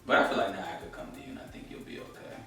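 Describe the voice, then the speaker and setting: A man's voice: sits low, very fast, fairly bright tone. A man in his late twenties co-hosting a casual chat show, laid-back and joking, laughing often. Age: 20s. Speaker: podcast co-host. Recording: echoey room, distant mic, faint music.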